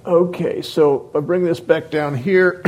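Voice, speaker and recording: A man leading a Bible class into a microphone, talking hesitantly with many restarts and fillers and clearing his throat often. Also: on the high side, steady tone, takes it slowly, darkish tone. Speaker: Bible teacher. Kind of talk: informal Bible class talk. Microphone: microphone on a sound system.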